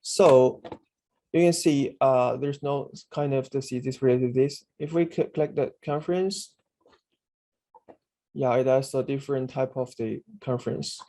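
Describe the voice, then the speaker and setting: A man walks through a software demo in a halting, stop-start way, full of fillers and self-corrections. His voice is mellow and dark, sitting at an average pitch. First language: Chinese. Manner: halting, many fillers and restarts. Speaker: instructor demonstrating a database. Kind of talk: screen-share tutorial.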